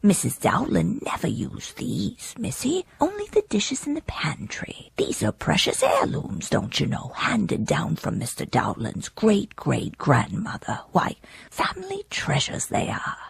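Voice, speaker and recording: A woman playing a hefty, middle-aged Irish housekeeper in an audiobook, stubborn and scolding, with a breathy, husky voice. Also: mid-range voice, huge pitch swings, unhurried, dry recording, whispered, heavily acted. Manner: stubborn, scolding, folksy phrasing. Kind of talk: audiobook character acting.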